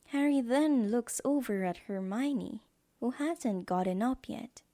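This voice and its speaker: A woman's voice: a little high, takes it slowly, dark tone. A woman giving scripted narration for an audio drama as its storyteller.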